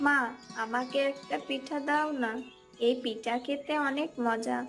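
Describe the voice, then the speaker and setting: Woman as an eager little village girl, high-voiced. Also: fairly even intonation, unhurried, fairly bright tone, faint music behind. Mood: eager, sweet. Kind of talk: Bengali cartoon folk tale acting.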